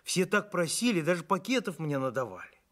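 A man reading the dialogue of an earnest, eager male doctor from the city, in a polite, animated manner. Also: on the high side, fairly quick, pitched above the performer's own voice.

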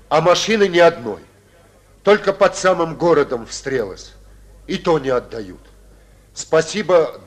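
An actor playing a plain-spoken male soldier with a folksy, colloquial way of talking, in a radio drama. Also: fairly high pitch. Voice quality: husky, folksy colloquial speech.

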